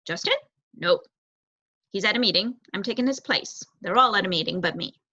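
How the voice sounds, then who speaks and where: A woman's voice: medium pitch, a little fast, pushed and bright. A woman voicing a blunt, bossy, oversized young male rat on guard in a storybook reading. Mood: firm, dismissive. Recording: roomy echo, dull muffled sound.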